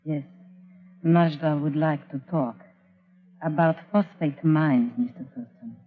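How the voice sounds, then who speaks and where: An actress playing a mysterious, earnest café woman with a French accent, measured and formal, in old radio style. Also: sits low. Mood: inviting, secretive. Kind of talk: 1940s radio acting. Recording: tinny old radio recording, faint music.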